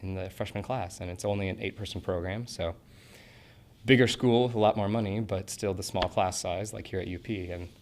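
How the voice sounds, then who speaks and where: Low-voiced young man, earnest and rambling. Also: steady tone, moderate pace, a little hiss or hum. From Portland, Oregon. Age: 20s. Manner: earnest, rambling with fillers and restarts. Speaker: interviewee, acting student. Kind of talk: casual interview answers.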